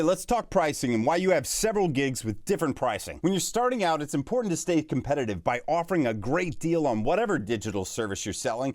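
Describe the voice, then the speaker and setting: A voice artist with a bass voice talks through a tutorial in an upbeat, coaching way. His pitch moves a lot as he speaks, and the voice sits somewhat high for a man. The recording is dry and close, with no room sound. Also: a little mellow, dry recording. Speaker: voice artist teaching freelancing. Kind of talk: scripted tutorial narration.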